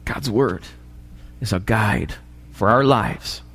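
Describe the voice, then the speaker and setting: A male pastor with an American accent and a slightly raspy voice, preaching a sermon and often checking in with short questions to the congregation. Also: fairly low pitch, slow, fairly bright tone. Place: church, preaching live. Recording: live church recording, long hall reverb.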